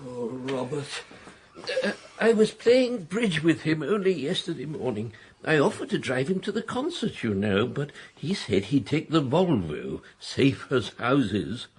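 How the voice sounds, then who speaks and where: An actor playing a dithering, hesitant older family friend in a radio comedy. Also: male voice, medium pitch, big pitch swings, a little slow, a little hiss or hum. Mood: flustered. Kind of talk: radio drama acting.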